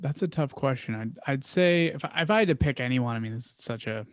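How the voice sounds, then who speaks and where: A young man with a Philadelphia accent, answering interview questions casually, trailing off quietly at times, with many fillers and restarts. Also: medium pitch, expressive, a little fast, dry recording, clean studio sound. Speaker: podcast guest, founder.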